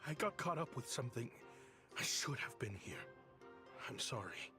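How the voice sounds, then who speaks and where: An actor playing a gentle, earnest, protective father figure in a game, calm and sincere. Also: mid-range voice, normal speed, music in the background, lightly acted. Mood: apologetic. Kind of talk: game voice acting.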